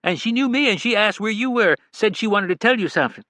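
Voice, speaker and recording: A man's voice: high-pitched, lively intonation, quick pace, sharp tone, dry recording, voice pushed up. A man voicing a familiar, chatty woman nurse at a care home in an audiobook. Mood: reassuring. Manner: familiar, calls her girl and honey.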